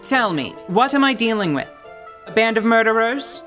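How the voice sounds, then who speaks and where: Woman voicing tiefling warrior, calm, authoritative, measured, dry. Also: fairly high pitch, faint music behind. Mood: commanding. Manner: cool, measured and dryly authoritative. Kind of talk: radio drama acting.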